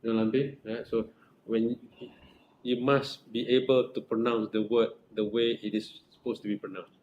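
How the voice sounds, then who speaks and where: A middle-aged man with a Malaysian accent speaks as a supervisor guiding a student, his voice sitting fairly low. He talks earnestly, circling back over points and often checking in with "right?". The room sounds echoey, with a faint hiss underneath. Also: little pitch movement, normal speed, darkish tone, lo-fi sound. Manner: earnest, repetitive, with frequent restarts and rhetorical "right?". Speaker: academic supervisor. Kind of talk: advising a research student in group supervision.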